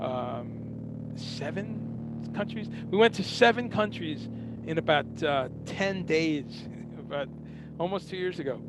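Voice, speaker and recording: Host, 50s man from Guyana, slightly high voice, loose and rambling, street hum audible behind. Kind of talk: casual talk-show intro monologue.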